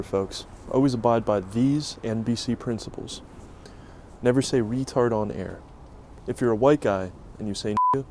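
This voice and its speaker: A man's voice: medium pitch, background noise. A man narrating a scripted, satirical story in a solemn, dramatic way with emphatic phrasing. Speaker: host and narrator of a Halloween special.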